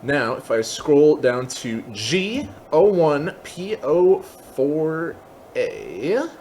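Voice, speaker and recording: A man hosting a software tutorial, explaining step by step in a methodical way. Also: on the high side, very animated, extremely slow, a little hiss or hum. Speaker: tutorial host.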